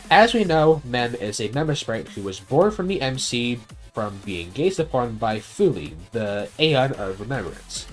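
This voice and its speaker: A man delivers an opinionated video game review in a conversational, blunt style with casual swearing. His pitch sits in the middle for a man and swings around in an animated way. Faint music plays behind his voice.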